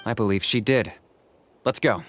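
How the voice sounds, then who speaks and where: A man acting a calm, level-headed companion in a video game, with restrained delivery. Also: on the low side, normal speed, slight background noise, natural voice.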